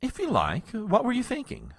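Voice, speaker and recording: Guy doing a exasperated aide, very animated pitch, dry close sound, hesitant and polite, comedy radio play. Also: moderate pace, gravelly, slightly rough, no echo, heavily acted. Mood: strained politeness. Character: deferential, exasperated, nervous male presidential aide. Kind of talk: comic audio drama acting.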